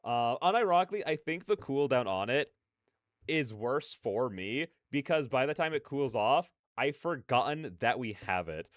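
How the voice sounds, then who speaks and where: Male streamer, mid-pitched clean smooth voice, dry close sound, rambling and slangy. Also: big pitch swings, moderate pace, dry recording. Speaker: livestream host. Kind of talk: casual reaction livestream chat.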